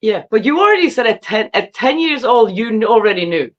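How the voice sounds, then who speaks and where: A woman hosting a casual live interview in an excitable, informal way. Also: fairly high pitch, a little fast. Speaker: podcast host. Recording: dull lo-fi online audio.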